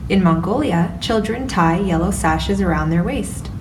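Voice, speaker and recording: A woman's voice: lowish voice, animated, moderate pace, roomy sound. Woman reading a children's book aloud. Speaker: storyteller. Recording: distant mic with background noise.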